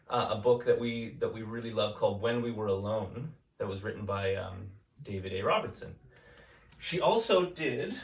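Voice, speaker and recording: A man teaching a class, chatting gently and reading a picture book aloud to children. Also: medium pitch. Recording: echoey room, mic at a distance.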